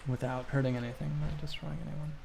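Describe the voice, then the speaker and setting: A man giving casual livestream commentary as he plays a game, answering questions in a thoughtful, halting, reflective way. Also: on the high side, level tone, gravelly, darkish tone, a little hiss or hum. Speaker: game streamer.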